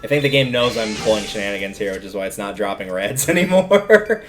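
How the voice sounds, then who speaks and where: A man's voice: fairly low pitch, expressive, brisk, music in the background. A man giving casual, rambling livestream commentary on a video game, often stopping to explain things.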